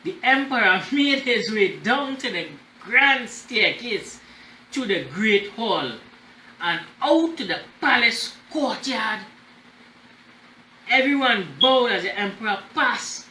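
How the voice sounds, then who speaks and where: A man reading a children's story aloud in an expressive, deliberate way, as the host of a storytime. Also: high voice. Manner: animated, deliberate, checking in with listeners. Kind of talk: expressive read-aloud with explanatory asides. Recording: roomy echo, faint background noise.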